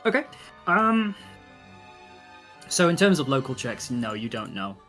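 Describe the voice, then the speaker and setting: A man with a mid-pitched voice runs a tabletop game as game master. He talks casually and a bit hesitantly, working out rulings aloud, with soft music underneath. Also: big pitch swings, measured pace. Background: faint music.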